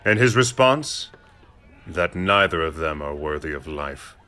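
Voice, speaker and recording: An actor in an audio drama playing a cold, worldly, cynical middle-aged count who speaks in a measured, formal way. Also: male voice, a bass voice, expressive, sharp tone, exaggerated voice. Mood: grim conviction.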